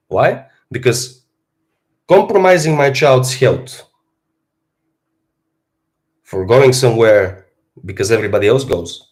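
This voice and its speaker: A man speaks in a rough, hoarse voice from a cold. He talks bluntly and swears freely, musing aloud in a casual livestream monologue as a fitness streamer. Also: fairly low pitch.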